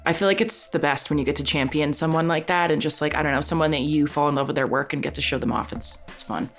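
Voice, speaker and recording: Deep-voiced woman podcast host. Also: quick pace, soft background music. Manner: casual and relaxed. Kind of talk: podcast chat.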